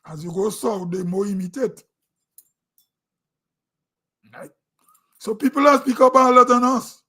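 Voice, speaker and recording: High-voiced Grenadian man ranting casually. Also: normal speed, bright, forceful tone. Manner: rambling, conversational, with throat-clearing and restarts. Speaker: online show host. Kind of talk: casual opinion monologue.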